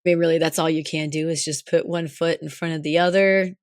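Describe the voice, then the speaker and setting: A young woman co-hosting a podcast in casual chat, hoarse as her voice comes back, rambling and laughing often. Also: low-pitched, fairly even intonation, fairly quick, dry recording, studio quality. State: hoarse, recovering lost voice. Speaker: podcast co-host.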